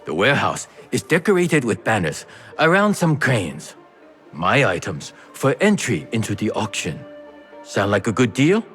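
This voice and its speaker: An actor playing a sly, theatrical merchant and smuggler with a teasing, playful manner in a video game. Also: male voice, lowish voice, huge pitch swings, unhurried, a bit bright, exaggerated voice. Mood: wry, scheming. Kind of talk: video game cutscene acting. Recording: music behind the voice.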